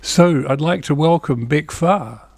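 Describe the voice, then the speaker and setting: A man's voice: fairly high pitch, measured pace, gravelly, a little mellow, dry recording. A man with a New Zealand accent hosting a local TV show from a studio. He reads out event dates, venues and phone numbers in a plain, friendly way and adds small asides. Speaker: community TV host. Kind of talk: reading local event listings, casual chat.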